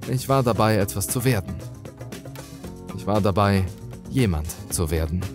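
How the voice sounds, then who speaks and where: A man's voice: lowish voice, animated, normal speed, music in the background. A man reading a scripted first-person horror story as a dramatic narrator.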